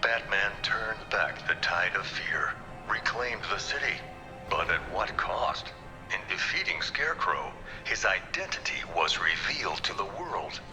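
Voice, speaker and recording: An actor playing a polished news reporter in a video game, giving solemn, dramatic documentary-style tour narration. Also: male voice, deep voice, lively intonation, measured pace. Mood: grave, dramatic. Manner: solemn, dramatic broadcaster delivery. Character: grave, polished male news reporter narrating a city's history. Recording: long hall reverb, faint music.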